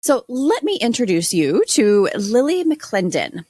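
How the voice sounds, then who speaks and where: A woman's voice: a little high, big pitch swings, a little slow, darkish tone, no echo, clean studio sound. A woman podcast panelist telling a true crime story in a wry, colourful, informal style.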